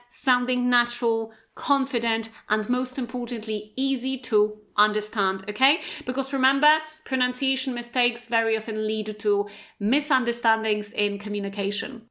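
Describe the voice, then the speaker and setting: Polish-accented woman with a higher voice. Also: sharp tone. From Poland. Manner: chatty, checks in with frequent "right?" and "okay?". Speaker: English teacher and podcast host. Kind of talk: solo educational podcast monologue.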